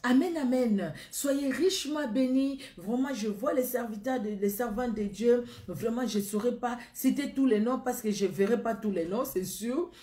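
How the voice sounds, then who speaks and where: A middle-aged woman with a Congolese accent preaches and prays aloud, her words earnest and returning again and again to the same phrases. Her voice is mellow and warm. She is recorded on a webcam in a room that rings with echo. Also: a little high, normal speed, few pauses. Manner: earnest, repetitive, rising fervor in prayer. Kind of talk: sermon and spoken prayer. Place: indoor room against a plain wall.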